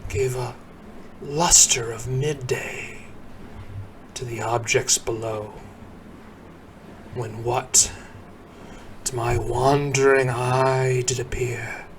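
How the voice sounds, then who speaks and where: A man giving a dramatic recitation of a poem, theatrical, moving between hushed murmurs and booming exclamations. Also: slight background noise. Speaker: poem reader.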